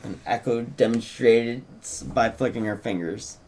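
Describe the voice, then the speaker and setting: A man's voice: mid-range voice, a little slow, a little mellow. A man narrating a script in a casual read-through, with informal asides. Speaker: co-writer reading a script at a table read.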